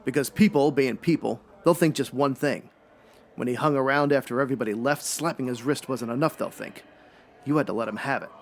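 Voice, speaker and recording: Hard-boiled radio-play gumshoe male actor, brusque and slangy, fry in the voice, mid-pitched. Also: a little fast. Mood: grim, matter-of-fact. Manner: clipped, slangy, wisecracking. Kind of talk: noir radio drama comedy acting.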